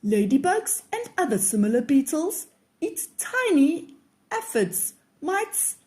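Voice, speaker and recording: A woman voicing a patient, kindly grandfather in a children's storybook, gently explaining things. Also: sits high, sing-song, slow pace, full character voice. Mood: calm, instructive. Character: patient, kindly grandfather who loves garden bugs.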